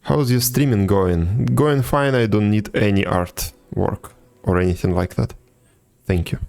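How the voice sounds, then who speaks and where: Very low, creaky male game streamer. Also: measured pace, a little mellow. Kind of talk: casual live stream commentary, reading dialogue aloud.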